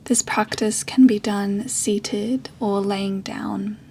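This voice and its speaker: A woman leading a guided meditation as a yoga teacher, speaking softly and breathily in a soothing way with long pauses. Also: medium pitch, steady tone, slight background noise.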